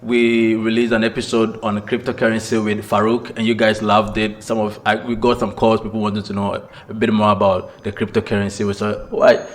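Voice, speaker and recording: A man hosting a podcast in a relaxed, joking way, often repeating himself. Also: lowish voice, a little fast, hardly any pauses. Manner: easygoing, jokey, repeats words. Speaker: podcast host. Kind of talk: casual podcast chat.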